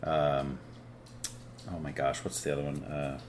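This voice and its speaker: A man hosting a podcast in casual chat, laid-back and easily excited, with lots of casual slang. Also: very deep voice, a little slow, slight background noise. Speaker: podcast host.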